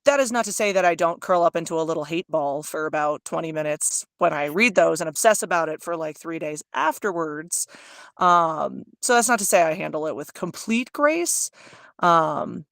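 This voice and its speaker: A woman audiobook narrator with a low, fry-heavy voice, answering interview questions in a candid, self-deprecating, chatty way. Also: animated, normal speed, a bit bright, dry recording, studio quality.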